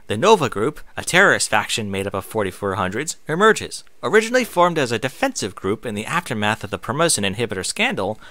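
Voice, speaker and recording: A man's voice: mid-range voice, sharp tone, no echo. A man reading aloud in a casual way, sometimes stumbling and slipping into off-script asides. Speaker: online reader and streamer.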